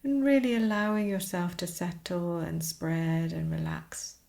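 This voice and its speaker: A woman teaching a guided meditation, speaking soothingly in short, unhurried phrases with pauses. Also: lowish voice, steady tone, gravelly, a little mellow.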